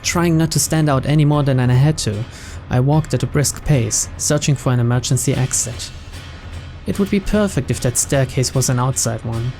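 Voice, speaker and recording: A man's voice: medium pitch, normal speed, darkish tone, faint music behind. A man playing through a visual novel, reading its narration aloud in English as a storyteller. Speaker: video game let's player.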